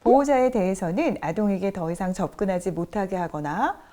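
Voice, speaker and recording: A woman instructor explaining things to children in a friendly, reassuring and gentle way. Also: medium pitch, a little fast.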